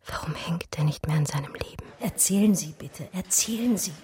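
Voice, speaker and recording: An actress in a radio drama playing an emotional, wistful art historian, with a breathy, husky voice that often sinks toward a whisper. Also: female voice, fairly low pitch, expressive, a little fast, close to an everyday voice. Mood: anguished, pleading. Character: distraught art historian recalling a lost lover, wistful and urgent. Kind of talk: radio drama dialogue and intimate first-person narration.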